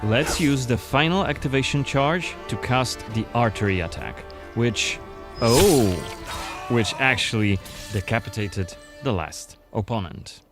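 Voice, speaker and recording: A man's voice: mid-range voice, expressive, a little slow. A man presenting a game demo in a scripted narration style. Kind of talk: scripted gameplay showcase narration. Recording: music under the voice. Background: background music.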